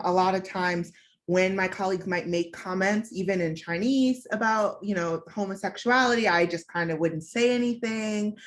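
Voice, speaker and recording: A young woman from the United States telling a personal story on a panel, in a candid, reflective way with frequent fillers. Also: fairly low pitch.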